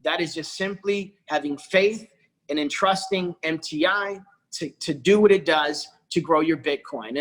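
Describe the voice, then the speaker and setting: A man's voice: high-pitched, a bit bright, rough recording. A man giving a persuasive sales presentation on a corporate video call, often calling out to his audience. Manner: persuasive, repeats "folks" and "okay".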